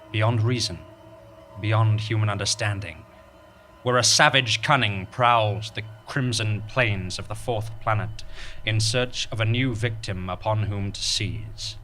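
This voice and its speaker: A man's voice: on the low side, takes it slowly, bright, forceful tone. A man acting as the ominous, grandiose narrator of a melodramatic space western radio serial. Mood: ominous, grand. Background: music.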